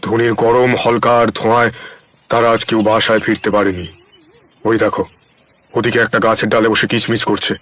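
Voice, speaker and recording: An actor playing a kindly, wise wandering ascetic in an audio story drama, speaking in a gentle, earnest, philosophical manner. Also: male voice, on the low side, little pitch movement, normal speed, close to an everyday voice. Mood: gently earnest. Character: wandering holy man, kindly, wise, plain-spoken ascetic.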